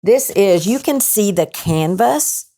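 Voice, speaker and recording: A woman's voice: mid-range voice, expressive, slow pace, warm tone. A woman chatting casually about books, appreciative and wandering between half-finished thoughts as a panelist. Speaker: show panelist. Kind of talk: casual book discussion.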